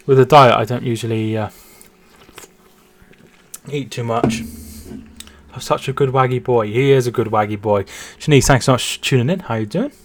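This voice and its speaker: A man's voice: medium pitch, normal speed, pauses often, slight background noise. A man hosting a casual football watch-along stream from home, reading out chat and calling the match. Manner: chatty, repeats words and restarts while reading chat.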